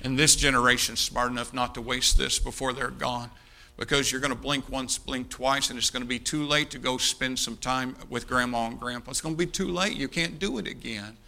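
A man's voice: mid-range voice, steady tone, brisk, creaky, sharp tone. A man in his fifties preaching a sermon to a congregation, emphatic, repeating key phrases and addressing his listeners directly. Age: 50s. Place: speaking live before an audience.

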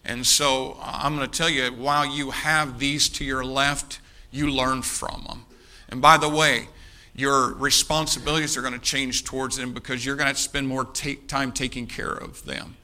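A man in his fifties preaches with a very bright, forceful voice at a medium pitch. He speaks straight to his listeners and drives points home by repeating phrases with heavy emphasis. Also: level tone, moderate pace. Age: 50s. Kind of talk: sermon, exhorting a congregation. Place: speaking live before an audience.

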